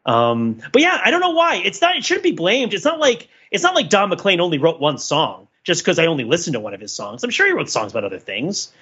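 A man's voice: sits high, huge pitch swings, a little fast, few pauses, bright, forceful tone, husky, muffled recording. A man co-hosting a podcast, giving casual, opinionated cultural commentary in a rambling, digressive way, as if thinking aloud. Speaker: podcast co-host.

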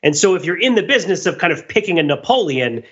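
Male podcast co-host, bright forceful voice, rambling enthusiastic explainer, slightly dull recording. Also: fairly high pitch, big pitch swings, fast talker. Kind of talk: casual analytical discussion.

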